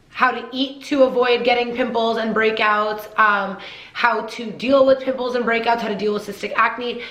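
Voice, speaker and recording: A young woman talks through skincare tips in a chatty, emphatic way, repeating herself to drive a point home. Her voice sits a touch high and sounds a little nasal and blocked from a cold. The room around her gives a noticeable echo. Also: pushed and bright. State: congested from a cold, slightly nasal. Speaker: beauty vlogger. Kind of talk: casual skincare advice vlog.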